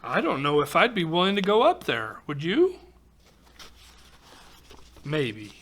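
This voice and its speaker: A man's voice: a little high, wildly swooping pitch, slow pace, fairly bright tone. A man reading a rhyming picture book aloud to children, expressively, with exclamations and chatty asides. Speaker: volunteer storybook reader for children.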